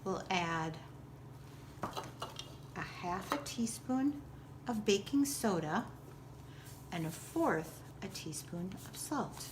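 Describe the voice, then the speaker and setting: A woman presents a recipe step by step in a very unhurried way, with simple, teacherly instructions. Her pitch sits in the middle range for a woman. Clear background noise runs behind her voice. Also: lively intonation. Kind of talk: step-by-step cooking demonstration.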